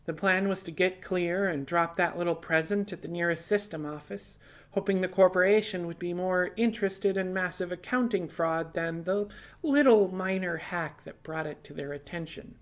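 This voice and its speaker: A man's voice: high-pitched, fairly even intonation, normal speed, voice pushed up, lightly acted. A man voicing a defiant, earnest young female hacker on the run in an audiobook, explaining herself with wry asides. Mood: pleading, defensive. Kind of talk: audiobook character dialogue.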